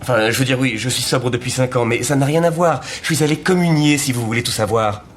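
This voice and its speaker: A man's voice: medium pitch, fast, slight background noise, lightly acted. An actor playing a wary male suspect under questioning, dry and sardonic, in a dubbed crime drama. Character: wary male suspect craving cigarettes, sly. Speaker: suspect in interrogation. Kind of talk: French dub of a TV crime drama.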